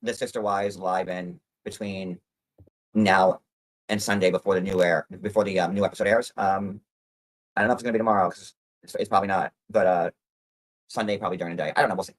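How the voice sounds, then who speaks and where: A man's voice: sits low, steady tone, fast talker, poor audio. A man chatting casually on a livestream, rambling and swearing casually.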